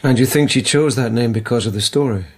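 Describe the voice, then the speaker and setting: Husky, sceptical police lieutenant. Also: male voice, medium pitch, fast. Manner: plain-spoken, questioning. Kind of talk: radio drama acting.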